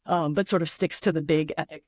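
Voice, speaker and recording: A woman's voice: low voice, fairly even intonation, fairly quick, a little mellow, no echo, clean studio sound. A woman speaking as a guest writer in an interview, reflective and enthusiastic as she explains ideas through imagined examples. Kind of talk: thoughtful interview talk.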